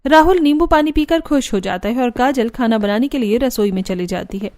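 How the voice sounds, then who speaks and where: A woman's voice: fairly high pitch, animated, fairly quick, a little mellow, no room sound, studio quality. A woman giving scripted narration for a cartoon family story.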